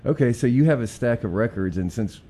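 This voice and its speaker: A man hosting a radio show, asking questions in a relaxed, conversational way. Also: mid-range voice, fairly quick, slight background noise. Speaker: radio host. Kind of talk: casual radio interview.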